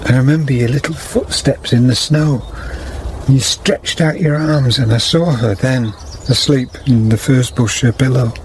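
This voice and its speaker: An actor playing a gentle, ageing weaver and devoted father in a radio drama, speaking tenderly in plain rustic phrases. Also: mid-range voice, fairly even intonation, unhurried, a little hiss or hum, close to an everyday voice, low-quality recording. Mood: fond, wistful.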